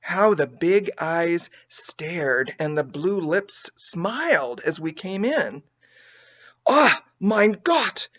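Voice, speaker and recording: A woman reading a children's story aloud as an expressive audiobook narrator. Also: lowish voice, slow, hardly any pauses, lo-fi sound. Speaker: audiobook reader.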